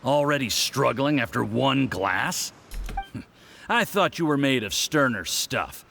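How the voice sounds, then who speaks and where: A man's voice: medium pitch, animated, takes it slowly, vocal fry, a bit bright, a little hiss or hum, full character voice. A man voicing a jovial, domineering conspirator in a video game, smug and teasing as he goads his accomplice. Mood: teasing, pressing. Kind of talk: English video game voice acting.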